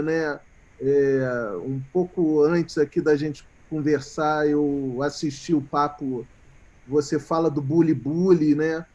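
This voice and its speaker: A man hosting an interview, asking long questions in a relaxed, slightly hesitant way. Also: a little high. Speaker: interviewer host. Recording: dull lo-fi audio.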